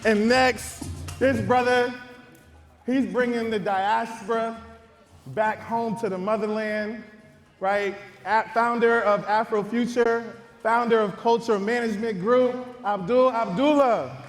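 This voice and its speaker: A man's voice: very high-pitched, takes it slowly, echoey room, long reverb tail, faint music behind, some chatter behind. A man hosting a live panel and hyping up each guest as he introduces them. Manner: hype, energetic introductions. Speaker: podcast host and panel moderator.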